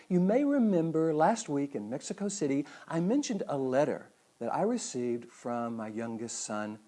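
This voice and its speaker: Middle-aged male host, relaxed mellow timbre, expressive melody, earnest and emphatic, speaking straight to viewers. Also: fairly high pitch. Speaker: psychologist and speaker hosting a weekly show. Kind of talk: motivational talk to the audience.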